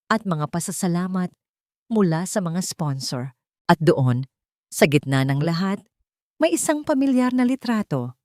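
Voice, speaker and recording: A woman's voice: on the low side, a little mellow, dry recording, clean studio sound. A woman reading scripted dramatic narration as the storyteller of a romantic tale.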